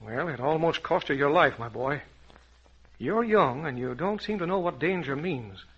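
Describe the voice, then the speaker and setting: A commanding, fatherly safari hero in an old radio serial. The voice is forceful, husky and a bit high, with brisk authority, on a dull vintage recording. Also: expressive, full character voice. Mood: fatherly, cautioning. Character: commanding, fatherly male African safari adventurer. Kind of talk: 1940s radio adventure acting.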